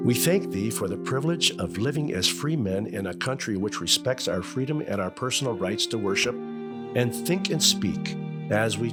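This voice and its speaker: A genial, authoritative middle-aged father in golden age radio comedy. His delivery is earnest and lively in pitch, with loud music in the recording. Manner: earnest, paternal, firm. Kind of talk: 1950s radio sitcom acting. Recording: vintage radio broadcast with music behind.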